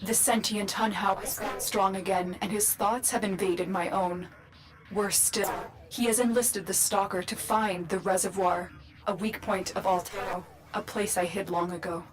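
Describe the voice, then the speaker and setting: An actress playing a grave, mysterious guide in a game, giving scripted mission orders in a solemn, measured, urgent manner. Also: female voice, mid-range voice, fairly even intonation, crowd noise, lightly acted, low-quality recording. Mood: grave, worried. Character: grave, mysterious woman guide commanding secret warriors.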